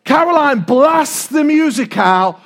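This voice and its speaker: A middle-aged English man preaches in a very high-pitched voice. He is loud and animated, talking to his congregation in an informal, humorous way and repeating key phrases to drive them home. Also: slow.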